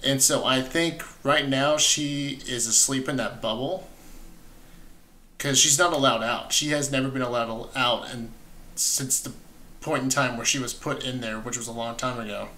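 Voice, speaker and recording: A man's voice: mid-range voice, steady tone, moderate pace, bright, forceful tone. A young man hosting a reaction video, commenting casually and enthusiastically, often rephrasing himself as he goes. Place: small indoor room at home.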